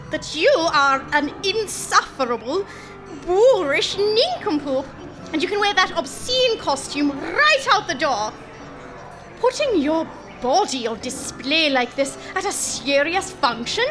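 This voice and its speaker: A woman's voice: high-pitched, very animated, takes it slowly, over music, heavily acted. A woman playing a prim, indignant alien society hostess in a comic sci-fi audio drama, haughty and formal. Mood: outraged.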